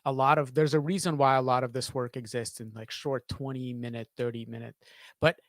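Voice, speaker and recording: A man in his thirties giving thoughtful interview answers as a sound designer, halting and repeating phrases as he searches for words, close on a studio mic in a small home studio. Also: medium pitch, flat delivery, no room sound. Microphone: studio microphone on a boom arm, close.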